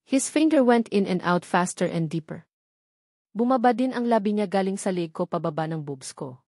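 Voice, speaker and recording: A woman's voice: mid-range voice, darkish tone, dry recording. Woman narrating a novel, very dry sound. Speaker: audiobook narrator. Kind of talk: scripted first-person romance novel narration.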